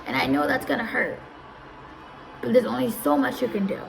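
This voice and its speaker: Young woman with a gravelly voice. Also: a little high, sing-song, a little fast, poor audio. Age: early 20s. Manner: rambling, easily distracted, repeats herself. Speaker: audio live streamer. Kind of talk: casual advice talk.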